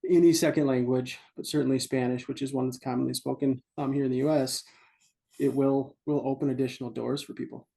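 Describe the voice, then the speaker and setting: A man's voice: mid-range voice, little pitch movement, brisk, gravelly, warm tone. A man speaking as a parent guest in a casual chat, thoughtful and a little hesitant.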